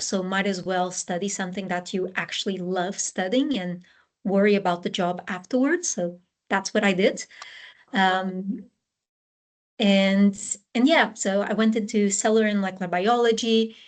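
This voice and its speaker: Portuguese-accented woman, interview guest, explaining at length, many ums and restarts, mid-pitched voice. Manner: explanatory, frequent fillers and restarts. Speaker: scientist guest. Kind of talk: conversational interview answers.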